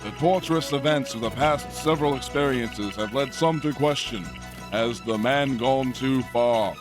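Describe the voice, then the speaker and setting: A medium-pitched, solemn newscaster in a satirical audio drama, acted by a man. The delivery is measured and declamatory with mock seriousness, over clearly audible music. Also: lightly acted. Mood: grave. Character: solemn male newscaster reporting for the establishment. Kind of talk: parody news reading in audio play.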